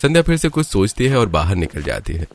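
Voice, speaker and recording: A man's voice: lowish voice, very animated, fast talker. A man narrating a scripted cartoon storybook tale as the narrator.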